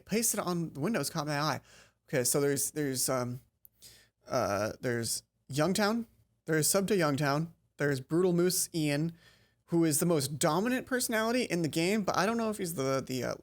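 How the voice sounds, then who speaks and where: A woman's voice: sits low, expressive, normal speed. A woman reading a visual novel game aloud, tripping over the text and breaking off into casual, exasperated commentary. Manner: stumbles over read text, exasperated asides. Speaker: let's play host.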